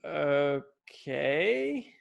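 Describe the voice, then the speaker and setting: A man's voice: on the high side, big pitch swings, extremely slow, creaky, highs cut off, full character voice. An actor playing an eager, cocky young boy in a sitcom table read, with earnest delivery. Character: eager, cocky young boy wanting martial arts glory. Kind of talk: sitcom table read over video call.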